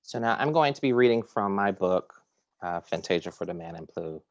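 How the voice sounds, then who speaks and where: A man reading poems aloud at a virtual poetry reading from his home, measured and deliberate, introducing each piece with hesitant fillers. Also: deep voice, expressive, dull audio. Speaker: poet reading at a virtual series. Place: home kitchen or similar domestic room. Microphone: video call.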